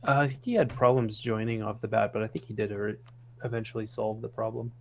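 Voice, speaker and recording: A man's voice: fairly low pitch, steady tone, fast talker, creaky. A man playing a strategy game online, chatting casually and hesitantly with another player. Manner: easygoing, hesitant, joking. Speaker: fellow streamer player. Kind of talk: casual gaming chat.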